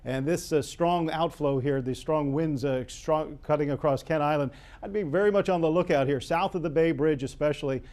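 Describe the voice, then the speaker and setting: A man's voice: a little high, normal speed, a little mellow. An American man, a chief TV meteorologist, explaining live weather conditions in an unscripted way.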